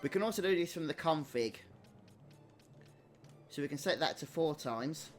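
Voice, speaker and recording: A man's voice: on the high side, lively intonation, moderate pace. A man giving casual gameplay commentary, thinking aloud in a hesitant way. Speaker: gaming video host. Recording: game music behind voice. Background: loud game music.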